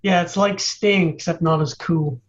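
A young man from Ireland with an Irish accent, a musician guest on a radio show who jokes and talks in a relaxed, self-deprecating way. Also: on the high side, normal speed, dull audio. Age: young adult. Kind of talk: casual radio interview.

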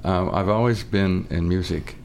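An older man from Canada, a guest in a radio studio interview, recounts his past with a dry, wry manner. His voice is very deep and gravelly, with a little background noise. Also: fairly even intonation, moderate pace, darkish tone. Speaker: music industry guest. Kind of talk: casual interview.

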